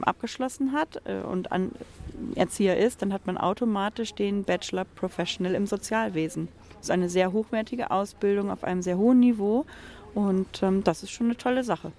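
A woman's voice: medium pitch, darkish tone. A woman teacher explaining a training course with enthusiasm. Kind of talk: interview answers.

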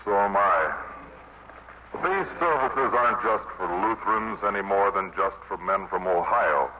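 A steadfast army chaplain speaks to soldiers in a plain, earnest, wry way. The voice is low, in 1950s radio drama style. The recording is dull and noisy. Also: male voice, expressive, a little slow. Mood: brisk, friendly. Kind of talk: 1950s radio drama acting, informal sermon. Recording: muffled, noisy vintage radio broadcast.

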